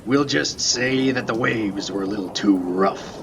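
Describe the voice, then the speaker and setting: Guy acting as a dry wry lighthouse keeper in a radio play, creaky voice, rain and storm noise behind. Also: fairly low pitch, measured pace. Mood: matter-of-fact. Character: McDunn, seasoned wry male lighthouse keeper. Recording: noisy rain and storm ambience.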